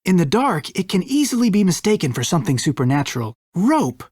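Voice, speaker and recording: A no-nonsense, wry female investigator for a mystery game. Her voice sits a little low and moves expressively, in a dry studio recording. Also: big pitch swings, fairly quick, darkish tone, breathy edge, dry recording. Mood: suspicious, businesslike. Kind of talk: video game voice acting.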